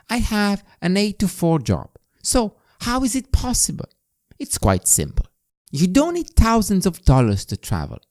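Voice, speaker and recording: Animated Romanian-accented man hosting a podcast. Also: a little high. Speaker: podcast host. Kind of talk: scripted self-improvement monologue.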